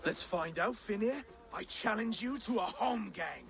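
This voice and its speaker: Game role: hesitant young Saxon lord, jovial, excitable, swooping intonation. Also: male voice, high voice, creaky, background noise, exaggerated voice. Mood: nervous bravado. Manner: excitable, halting. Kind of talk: video game cutscene acting.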